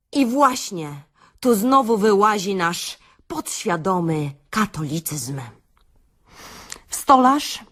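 A woman playing a chatty, wry workshop speaker in a comic audio-play monologue, theatrical and confiding, with hesitant pauses and rhetorical questions. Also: medium pitch, fairly bright tone.

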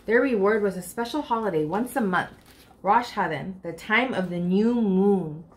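A woman's voice: mid-range voice, normal speed. A woman in her thirties reading a picture book aloud expressively and speaking directly to children. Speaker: library storytime reader. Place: furnished room indoors.

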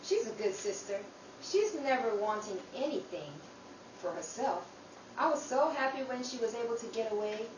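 A woman's voice: fairly high pitch, animated, moderate pace, close to an everyday voice. Woman acting earnest young sister, echoey and noisy. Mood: anxious, fond. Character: earnest, grateful youngest sister telling her Depression-era story. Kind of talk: old time radio drama acting. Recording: distant, echoey room with clear background noise.

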